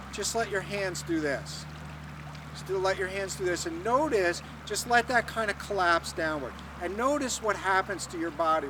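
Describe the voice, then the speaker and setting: A man teaching tai chi, guiding students calmly and patiently through an exercise step by step. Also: high-pitched, recorded from a distance, slight background noise. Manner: calm, patient step-by-step guidance. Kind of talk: instructional talk with demonstration.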